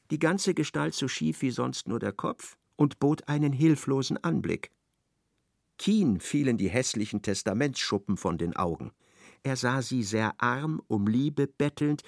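A man's voice: medium pitch, gravelly, no echo, studio quality. A man reading scripted literary narration as the narrator of a radio play.

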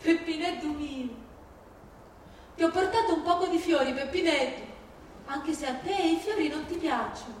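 Madre siciliana in lutto, recitata da un'attrice, voce acuta. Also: female voice, high-pitched, moderate pace, lightly acted. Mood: tender mourning. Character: grieving, bitter Sicilian mother addressing her dead son. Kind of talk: theatrical dramatic monologue in a staged reading. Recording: very echoey, distant, faint noise.

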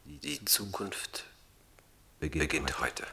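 Resolute political agitator, solemn, declamatory, husky, deep, expressive, radio drama. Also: male voice, drawn-out words, heavily acted.

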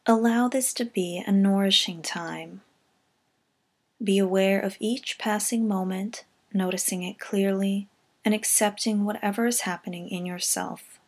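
Woman calmly guiding a meditation. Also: medium pitch. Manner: calm, gentle, measured delivery with soft phrase endings. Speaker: meditation guide. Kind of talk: scripted guided body scan meditation.

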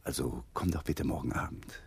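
Troubled, gravelly widowed lawyer. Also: male voice, a bass voice, normal speed, full character voice. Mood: casual, inviting. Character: troubled, self-pitying widowed male lawyer under suspicion. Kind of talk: radio crime drama acting.

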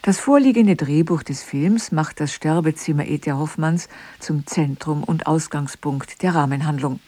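Low, mellow female radio announcer. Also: measured pace, no room sound. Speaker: announcer introducing a radio play. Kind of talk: scripted broadcast introduction.

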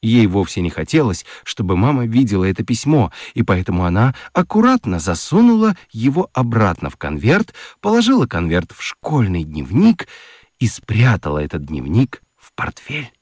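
A man narrating a children's radio story in an expressive, theatrical, confiding way with playful gravity and dramatic pauses. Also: lowish voice, dry recording, studio quality. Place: studio. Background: music.

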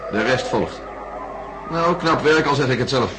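A man's voice: medium pitch, animated, a little slow, fairly bright tone. An actor in a radio drama voicing a man who asks dry, direct questions. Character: dry, questioning male assistant. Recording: noisy radio play recording.

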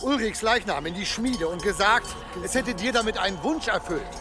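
Belligerent villager, high-pitched. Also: male voice, expressive, normal speed, music in the background. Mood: accusing. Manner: accusing, gruff, domineering. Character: suspicious, belligerent village man, a goat farmer. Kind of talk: German radio drama acting.

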